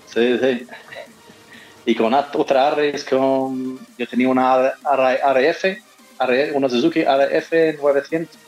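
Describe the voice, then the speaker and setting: An Australian man whose accent has picked up an Andalusian lilt, sits as a guest and answers at a medium pitch. He often trips and restarts his phrases while he searches for the words. Faint music plays underneath him. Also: steady tone, unhurried, darkish tone, rough recording. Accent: Australian mixed with Andalusian. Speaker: interview guest, motorcyclist. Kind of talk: casual interview answers.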